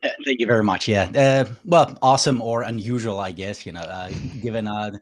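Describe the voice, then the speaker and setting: A man from Greece speaking as a guest in a podcast interview. He talks at a relaxed pace, hesitating often with "uh" and the odd soft laugh. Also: on the low side, fairly quick. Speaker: guest engineer. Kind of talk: casual interview chat.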